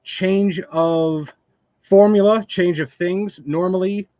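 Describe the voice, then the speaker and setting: A man's voice: sits high, steady tone, slow pace, warm tone, dry recording. A man in his twenties hosting a live online fishing interview from a home studio, effusive and complimentary toward his guest. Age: 20s. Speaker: host of a fishing channel.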